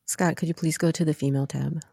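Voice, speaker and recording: A playful woman co-hosting a casual draft show, sounding a little nasal from a stuffy nose. Her voice is low for a woman, recorded dry and close. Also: fairly even intonation, fast, creaky, mellow, husky, no echo. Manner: playful, laughing, slightly nasal. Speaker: podcast co-host. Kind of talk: casual chat.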